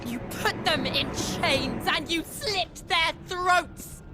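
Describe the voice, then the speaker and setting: An actress playing a resolute young woman outlaw in a fantasy game, speaking with measured, earnest intensity. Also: very high-pitched, loud music behind the voice. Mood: bitter, accusing. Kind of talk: dramatic fantasy game acting.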